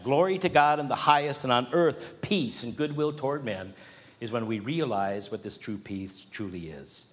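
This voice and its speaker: A man preaching a sermon from the pulpit. His delivery rises to emphatic points and falls to hushed, earnest lines. His voice carries a long reverb from a large hall. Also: mid-range voice. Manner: preaching with swells of emphasis and quiet drops. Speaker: pastor.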